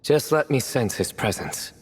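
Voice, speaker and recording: A man voicing a solemn, measured young game character who delivers everything deadpan. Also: medium pitch. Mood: composed. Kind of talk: video game voice acting. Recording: long reverberant hall sound. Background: faint game music.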